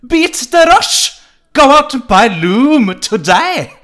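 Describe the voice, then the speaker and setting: An old pirate barfly turned pitchman, crying out his wares in an Irish accent. The voice is pushed high and loud, with grandiose, theatrical delivery suited to an adventure game. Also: sing-song, exaggerated voice. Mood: grandiose, excited. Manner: theatrical, declaiming sales pitch.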